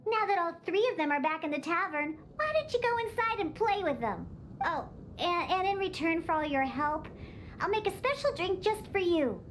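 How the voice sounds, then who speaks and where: Very high-pitched feisty cat girl. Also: big pitch swings, moderate pace, slight background noise. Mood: indignant, determined. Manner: indignant, bossy, cutesy. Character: feisty young cat-girl bartender who despises alcohol. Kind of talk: video game character acting.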